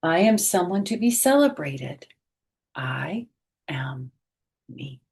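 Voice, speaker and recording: A middle-aged woman reading a picture book aloud to children, gently and expressively, pausing to explain things directly to her young listeners. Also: low-pitched, big pitch swings, creaky, husky.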